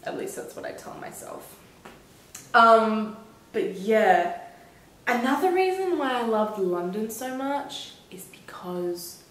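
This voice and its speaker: A young woman chatting casually as a travel vlogger, telling stories in an animated way and acting out bits of dialogue. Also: mid-range voice. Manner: animated storyteller, acts out reported dialogue. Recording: roomy echo.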